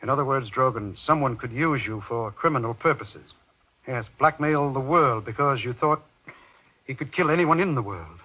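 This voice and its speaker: A man's voice: medium pitch, animated, moderate pace, bright, forceful tone, slightly rough. A man playing an earnest, insistent doctor in golden age radio horror acting, commanding and theatrical. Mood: grave. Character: earnest, urgent doctor pressing a frightened man. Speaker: actor in a radio horror drama. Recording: dull lo-fi old radio recording.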